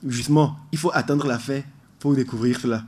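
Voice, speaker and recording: A man's voice: medium pitch, fairly even intonation, a little fast, a little mellow, slightly rough. A man being interviewed as a musician guest in a radio studio, explaining his ideas in an earnest, emphatic way.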